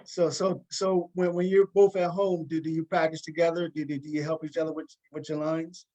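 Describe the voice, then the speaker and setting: A man's voice: on the high side, flat delivery, a little fast, mellow, highs cut off. A man hosting a talk show, interviewing in a casual conversational way, often repeating words and rephrasing his questions as he goes.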